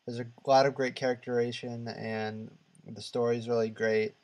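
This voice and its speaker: A man with a mid-range voice chats casually as co-host of a gaming podcast. He speaks haltingly and rambles as he gives his opinions. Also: mid-range voice, level tone, a little mellow.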